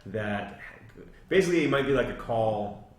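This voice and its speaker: A man's voice: on the low side, lively intonation, moderate pace. A man casually explaining a fantasy story in a rambling, conversational way. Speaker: podcast co-host explaining game lore. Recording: echoey room.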